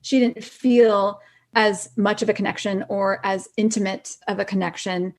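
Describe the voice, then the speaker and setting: A woman guest on a podcast, an actress, chatting casually in an informal, halting way with lots of filler likes. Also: medium pitch, steady tone. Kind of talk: casual chat.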